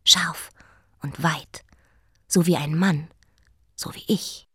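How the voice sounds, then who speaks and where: A woman's voice: low voice, fairly even intonation, slow, sharp tone, slightly rough, dry recording, heavily acted. An actress playing a defiant young queen who was raised as a boy, narrating her life in a radio play monologue. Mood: reflective.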